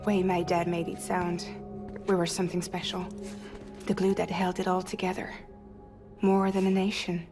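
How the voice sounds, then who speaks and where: A woman's voice: on the low side, completely flat delivery, moderate pace, music in the background, natural voice. An actress playing a proud, wounded young woman courier in a dramatic video game cutscene, speaking earnestly. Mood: wistful, reflective.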